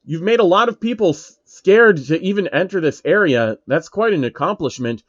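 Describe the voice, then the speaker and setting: A man's voice: high-pitched, lively intonation, moderate pace, no echo, highs cut off, voice pushed up. A man voicing an eager male lookout for a gang.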